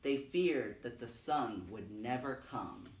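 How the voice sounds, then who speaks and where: A man's voice: medium pitch, lively intonation, slow. Echoey, distant male storyteller reading aloud. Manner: dramatic, repeats phrases for emphasis. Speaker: storytime reader. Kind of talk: expressive read-aloud children's storytelling. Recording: roomy, echoing, distant microphone.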